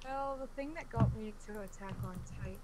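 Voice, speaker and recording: A woman on a livestream panel, talking in a soft, easygoing, self-deprecating way. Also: a little high, fast, heavy background noise. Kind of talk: casual livestream chat.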